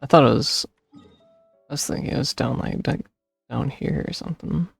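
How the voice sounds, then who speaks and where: Gravelly man casually streaming a game. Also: fairly high pitch, normal speed, no echo, faint music behind. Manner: offhand, muttering to himself. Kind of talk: casual gameplay commentary.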